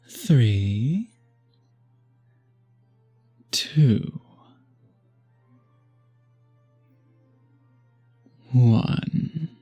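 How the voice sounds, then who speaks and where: A man voicing a charming, seductive vampire in a romantic ASMR roleplay, husky and breathy with a courtly, teasing manner, often dropping to a whisper. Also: mid-range voice, mellow, full character voice. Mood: intense, hungry. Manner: slow, courtly, teasing, flirtatious. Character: charming, seductive male vampire at a masquerade ball.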